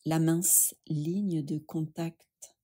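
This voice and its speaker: A woman leading a guided yoga nidra meditation, speaking gently and soothingly with long pauses. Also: low-pitched, fairly even intonation, slightly rough.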